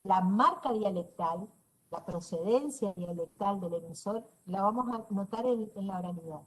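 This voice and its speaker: A woman's voice: mid-range voice, normal speed, breathy edge, rough recording. A middle-aged woman from northeastern Argentina with a northeastern Argentine accent, giving an online class lecture over a video call. She explains step by step, keeps checking in with her listeners and drops in dry, teasing asides. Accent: northeastern Argentine Spanish. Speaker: university lecturer.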